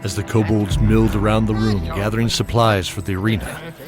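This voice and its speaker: Steady male fantasy narrator. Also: lowish voice, fairly quick, over music. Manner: steady, descriptive. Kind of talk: scripted fantasy audio drama narration. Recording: loud score behind.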